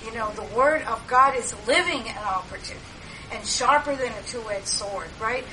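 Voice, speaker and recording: A woman's voice: fairly high pitch, moderate pace. An older woman sharing at a church meeting, rambling with many fillers and restarts. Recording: distant mic, background noise.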